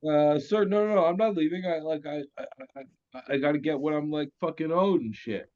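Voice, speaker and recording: A man's voice: fairly high pitch, fairly quick, a little mellow, dull audio, close to an everyday voice. A man playing a whiny, pushy henchman who stammers and pleads, in a comedy table read. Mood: pleading, indignant. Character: eager, whiny male henchman demanding a promotion.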